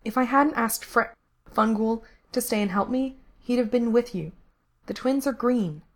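A woman's voice: on the high side, moderate pace, pitched above the performer's own voice, natural voice. Woman as an earnest, tense young male rebel. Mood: quiet, guilty. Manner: earnest, gentle. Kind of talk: acted audiobook dialogue.

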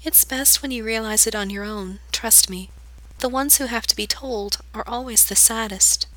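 A woman reading aloud, voicing a solemn, sad girl who speaks low, calm and gentle. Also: normal speed, no echo, poor audio. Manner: calm, soft, melancholy.

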